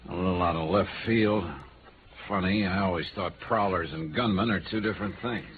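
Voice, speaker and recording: An actor playing a tough, wry insurance investigator with an American accent, clipped and dry, in 1950s radio noir style. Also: male voice, sits low, brisk, phone-quality sound, a little hiss or hum, lightly acted. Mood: dry, probing. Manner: clipped, dry, hard-boiled. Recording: old radio recording, thin band, music.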